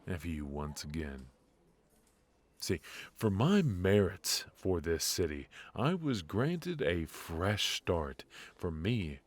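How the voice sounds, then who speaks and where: A man playing a wry, tender mafia boss in an intimate ASMR roleplay, speaking in a halting, low-key way. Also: dry recording, full character voice. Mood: earnest, coaxing. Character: brilliant ruthless male mafia boss, softened, wry and tender. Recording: music behind the voice.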